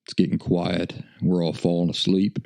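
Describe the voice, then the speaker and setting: A man's voice: low-pitched, completely flat delivery, normal speed, vocal fry, darkish tone. An American man hosting a podcast, telling stories in a folksy, self-deprecating way. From United States. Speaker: podcast host. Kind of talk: casual storytelling monologue.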